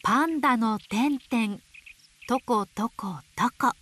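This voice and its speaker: High, sing-song motherly owl storyteller. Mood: playful storytelling. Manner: gentle, slow, sing-song, playful sound words. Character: gentle motherly female owl teacher reading picture books in a forest. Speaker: picture book storyteller for babies. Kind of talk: picture book read-aloud for toddlers. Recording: faint background noise of nature. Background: nature sounds.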